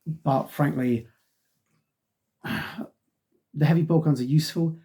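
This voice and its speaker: A middle-aged man talks through a hobby magazine review with a dark, husky voice that a cold has left a little worn. He rambles casually, sighing between thoughts and leaning on ums. The delivery is mellow and unhurried. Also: on the high side, fairly even intonation. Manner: rambling, frequent sighs and ums. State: losing his voice from a cold. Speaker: tabletop wargaming magazine reviewer.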